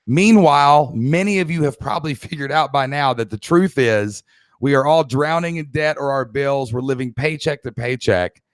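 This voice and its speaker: Male webinar host, Southern US accent, average pitch, dry close sound, emphatic and folksy coaching delivery. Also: dry recording. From Kentucky, United States. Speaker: online business coach, podcast host. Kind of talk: live webinar training presentation.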